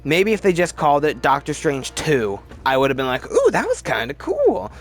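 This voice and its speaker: Animated, higher-pitched man giving movie opinions. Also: normal speed. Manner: rambling, animated, often repeats words for emphasis. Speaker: film ranking video host. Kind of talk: casual opinionated movie commentary.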